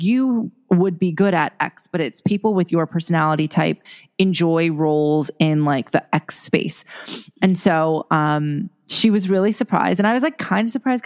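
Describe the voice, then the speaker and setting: A young woman co-hosting a casual chat podcast, rambling with many fillers and restarts. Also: on the low side. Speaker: podcast co-host. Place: Los Angeles.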